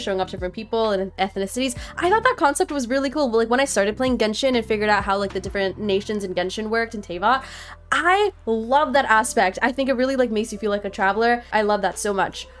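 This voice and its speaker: A young woman from Nepal, a student, talking earnestly to her viewers in a casual opinion vlog, filling her sentences with "like". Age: young adult. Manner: earnest, heavy use of "like", animated pitch jumps. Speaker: vlogger. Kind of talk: casual opinion talk to camera. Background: faint background music.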